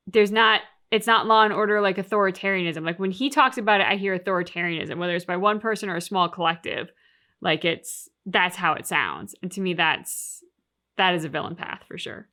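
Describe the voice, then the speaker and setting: A woman's voice: mid-range voice, bright, forceful tone. A woman hosting a reaction show, giving casual analysis in an emphatic, opinionated way and reasoning aloud through rhetorical questions.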